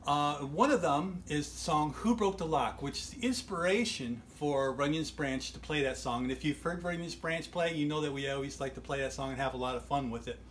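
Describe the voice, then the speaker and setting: A man's voice: fairly high pitch, brisk, few pauses, fairly bright tone. A man in his forties talking casually to camera as the host, recorded in a small furnished room at home. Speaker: host, band musician. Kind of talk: casual talk to camera introducing old tapes. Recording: noticeable background noise.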